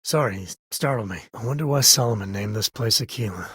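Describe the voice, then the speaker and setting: An actor playing a rugged space-ranger father in a video game, with a husky, raspy voice and a wry, earnest, conversational manner. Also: on the low side, a little fast, fairly bright tone, dry recording. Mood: somber, earnest. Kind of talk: video game character voice lines.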